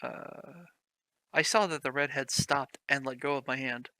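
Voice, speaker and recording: A man's voice: mid-range voice, a little slow, sharp tone, dry recording. A young man reading a fanfic aloud as a comedy host, sarcastic and swearing, mocking the text as he goes.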